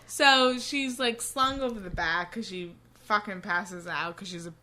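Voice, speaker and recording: A woman's voice: medium pitch, measured pace, bright, forceful tone, a little hiss or hum. A woman co-hosting a podcast in casual book-discussion banter, with a profane, exasperated way of talking. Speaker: podcast co-host.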